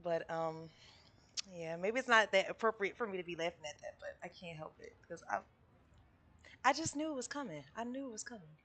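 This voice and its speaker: Female game streamer, bright forward voice, mid pitch, casual giggly slang commentary. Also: lively intonation, fairly quick. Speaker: streamer reacting to a game story quest.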